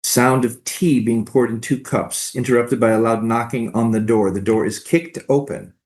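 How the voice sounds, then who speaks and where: A man's voice: medium pitch, fairly even intonation, normal speed, darkish tone. A man reading stage directions as scripted narration for a staged play reading.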